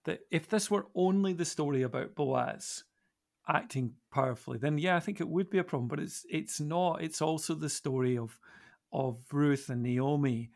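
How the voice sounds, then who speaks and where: Man, podcast panellist, fairly high creaky voice, emphatic repetition, conversational. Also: moderate pace, a little mellow. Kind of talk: conversational discussion.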